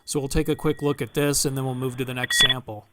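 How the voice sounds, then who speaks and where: A man hosting a video, explaining in an unhurried, halting way, pausing often as he walks through examples. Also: medium pitch, level tone, very noisy. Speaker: host.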